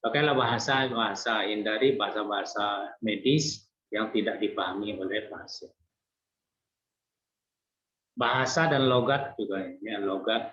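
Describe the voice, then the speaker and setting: A man giving an online nursing lecture in a relaxed, conversational way, frequent fillers and restarts. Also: fairly low pitch, a little slow. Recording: roomy, dull lo-fi lecture recording.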